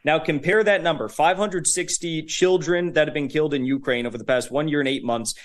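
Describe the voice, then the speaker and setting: A young man appearing as a guest commentator, talking in a casual, emphatic, fast-flowing way with fillers. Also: on the high side. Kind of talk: political commentary.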